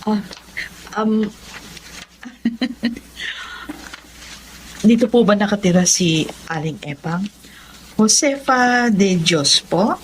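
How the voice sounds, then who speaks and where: A woman playing a polite, warm thirty-year-old back from abroad in a radio drama, mixing in English. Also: medium pitch, animated, drawn-out words, pauses often, slight background noise, low-quality recording. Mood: polite, eager. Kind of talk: Filipino radio drama acting.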